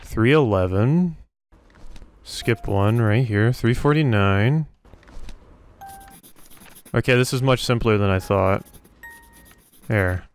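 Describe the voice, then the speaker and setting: A man's voice: on the low side, slow pace, long pauses, smooth. A man giving casual game commentary, musing to himself as he works things out. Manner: thinking aloud, musing to himself. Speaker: let's play host.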